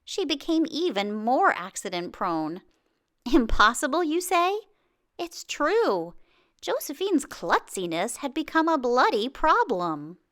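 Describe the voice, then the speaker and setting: A woman reading a children's picture book aloud in an expressive way, with dramatic pauses and exclamations. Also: high voice. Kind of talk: children's storybook narration.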